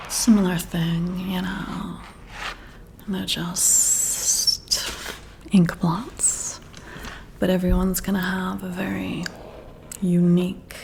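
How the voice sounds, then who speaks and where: A woman playing a flirty, intrigued boss in an ASMR roleplay, whispering slowly and soothingly right up close to the mic. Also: mid-range voice, a little hiss or hum. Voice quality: breathy whisper with creaky edges. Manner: slow, deliberate, soothing, intimate. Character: flirty, intrigued female boss giving a personality test. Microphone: close to the mic, whispering into the ear.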